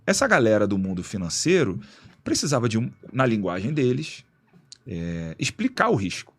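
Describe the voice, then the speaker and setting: Gravelly man explaining in slangy talk. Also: lowish voice, normal speed. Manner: explains in a relaxed, slangy way with many restarts and "né?" tags. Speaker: guest, executive director of an institute. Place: radio studio.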